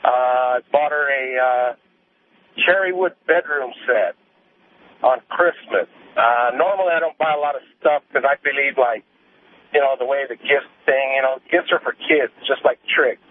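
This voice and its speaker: A man in his forties calling a radio show and telling a story casually, in a halting way. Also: medium pitch. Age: 40s. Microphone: telephone. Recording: narrow dry phone line.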